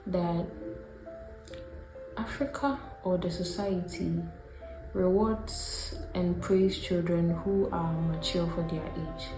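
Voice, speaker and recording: A woman with a Ghanaian accent narrating a reflective scripted video essay, speaking deliberately in short, paused phrases. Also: on the low side, fairly even intonation. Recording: roomy echo, music behind. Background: background music.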